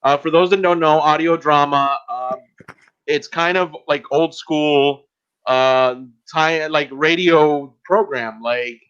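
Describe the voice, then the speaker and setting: A man hosting a casual podcast in an informal, halting, promotional way. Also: on the high side, a bit bright, clear, resonant tone, muffled recording. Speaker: podcast host.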